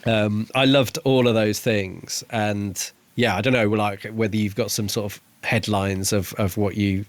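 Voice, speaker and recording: A man's voice: fairly low pitch, fairly even intonation, a little slow. A man co-hosting a podcast, talking informally and enthusiastically in a casual book review chat. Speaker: podcast co-host.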